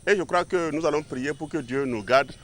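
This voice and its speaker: High-pitched emphatic male official. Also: fairly quick, fairly bright tone, slight background noise. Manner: emphatic, repetitive phrasing. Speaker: local elected official. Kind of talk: public ceremony speech.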